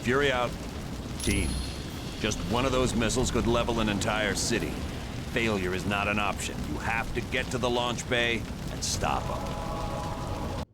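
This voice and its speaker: An actor playing a gruff, commanding military colonel in a video game cutscene, barking orders with authority. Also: male voice, lowish voice, a little slow, pauses often, very noisy, lightly acted.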